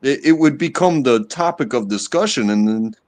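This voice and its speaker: A man chatting casually on a live stream, speaking haltingly with many fillers and restarts. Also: medium pitch, animated, darkish tone. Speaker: stream guest. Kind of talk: casual chat.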